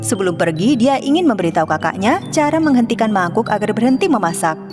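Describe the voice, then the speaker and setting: A woman narrating a children's cartoon story in a calm, clear storytelling manner. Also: mid-range voice, fast talker. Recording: music behind.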